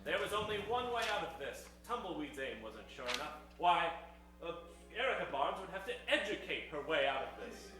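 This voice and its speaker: A man's voice: on the high side, big pitch swings, a little fast, recorded from a distance. A man narrating improvised old-time radio comedy in a theatrical storytelling manner. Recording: echoey hall from afar, music behind.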